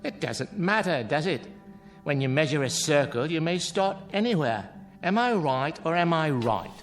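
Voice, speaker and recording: An actor playing a sardonic, world-weary clown in a radio drama, with florid, grandly mocking delivery. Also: male voice, a little high, expressive, normal speed, faint music behind, lightly acted. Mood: flippant.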